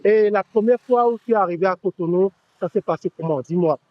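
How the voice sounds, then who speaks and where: A man hosting a casual interview, curious and friendly, often nudging with quick "ok"s. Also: high voice, no echo, highs cut off. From Benin. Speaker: show host.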